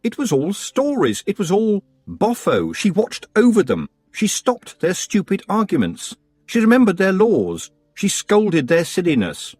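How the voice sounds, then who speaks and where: Man doing earnest young witch girl, raised pitch, lively delivery. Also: a little slow, husky, dry recording, full character voice.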